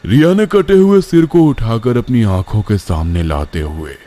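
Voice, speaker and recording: A man's voice: mid-range voice, expressive, moderate pace, mellow. A man narrating a scripted horror story as its storyteller.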